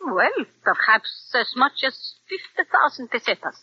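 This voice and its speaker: A woman's voice: on the high side, sing-song, moderate pace, pushed and bright, husky, exaggerated voice. An actress playing a scheming woman fascist agent with coaxing, formal foreign phrasing, in an old radio drama. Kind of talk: 1940s radio acting. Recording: dull old radio recording.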